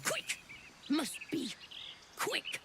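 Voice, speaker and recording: A woman playing a possessed, frantic mouthpiece of an alien hive mind in a video game, speaking in halting, urgent, cryptic bursts. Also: very noisy. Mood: urgent, strained. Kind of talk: dramatic video game acting.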